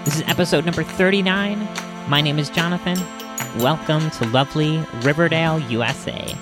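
A man's voice: fairly high pitch, a little fast, over music. Chuckling podcast host, man. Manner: relaxed, chuckling with fillers. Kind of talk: casual podcast intro.

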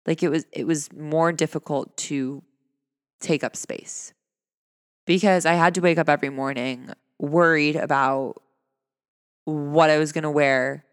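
A man speaking earnestly and conversationally in a personal reflective talk, his voice fairly high for a man, often asking rhetorical questions. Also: fairly bright tone, clear, resonant tone, no room sound, studio quality, long reverb tail. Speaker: vlogger.

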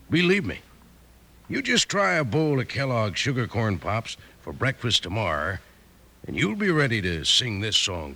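Hearty cowboy pitchman, American, creaky, swooping delivery, folksy, bright, old radio ad. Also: exaggerated voice. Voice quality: gravelly. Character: folksy, exuberant cowboy pal pitching breakfast to children. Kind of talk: 1950s radio advertisement.

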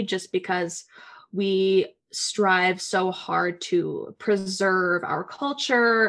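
A woman's voice: mid-range voice, fairly bright tone. A young woman hosting a podcast, speaking in a thoughtful, reflective way with fillers. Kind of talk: casual conversation.

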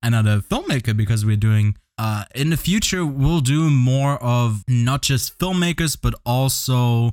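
Male podcast host, joking, rambling, mid-pitched, dry close sound. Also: animated, unhurried, few pauses, darkish tone, dry recording. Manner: jokey, rambling, laughs often. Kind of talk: casual podcast chat.

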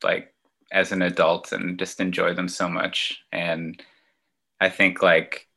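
A man from Ontario, a children's musician, answering interview questions in a relaxed, hesitant way with many fillers and restarts. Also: deep voice, takes it slowly, gravelly. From Ontario, Canada. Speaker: children's musician and outdoor educator.

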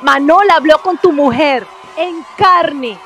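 A woman's voice: sits high, slow pace, a bit bright, slight background noise. An actress playing a naive, lovesick young woman in a radio soap, with a plaintive, melodramatic delivery. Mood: hurt, hopeful. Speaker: radio drama actor. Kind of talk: radionovela acting.

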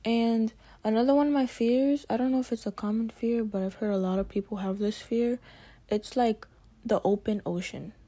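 A woman's voice: on the high side, steady tone, moderate pace, warm tone. A young woman hosting a casual chat, describing her fears and a cartoon in a chatty, vivid way. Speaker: host. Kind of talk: casual talk.

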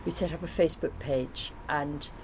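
A woman's voice: low-pitched, fairly even intonation, normal speed, noisy background. A woman in informal conversation, explaining and recollecting in a rambling way. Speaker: artist being interviewed.

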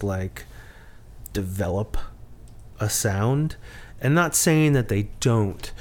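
A man co-hosting a podcast in casual chat, rambling and self-deprecating with halting restarts. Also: lowish voice. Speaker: podcast co-host.

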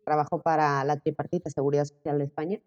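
A Mexican woman in her late twenties chatting as an interview guest, animated and quick to laugh. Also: sits low. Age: late 20s. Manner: animated, laughing, quoting herself in lively bursts. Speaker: interview guest, content creator. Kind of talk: casual conversation. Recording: dull audio with long reverb.

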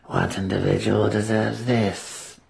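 Man doing a hushed, withered ancient elf matriarch. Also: on the low side, little pitch movement, slow, creaky, exaggerated voice, poor audio. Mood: imperious.

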